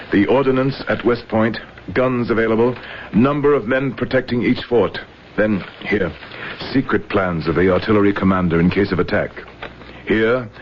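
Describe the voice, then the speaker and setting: Man acting low-voiced proud, scheming traitor general. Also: natural voice. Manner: self-assured, commanding. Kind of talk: old-time radio drama acting. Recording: muffled, noisy old radio broadcast.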